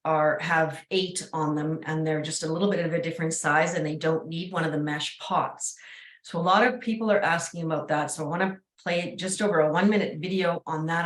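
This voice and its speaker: A roomy, echoing video call recording of a woman hosting. Her voice sits fairly low. She explains things in a casual, conversational way. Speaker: online meeting host.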